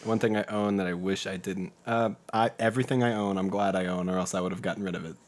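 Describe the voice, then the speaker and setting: A woman's voice: rumbling low, steady tone, fast, darkish tone. A woman chatting casually in a joking, playful way. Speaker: podcast guest, community theatre performer. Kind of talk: casual chat. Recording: clearly noisy background.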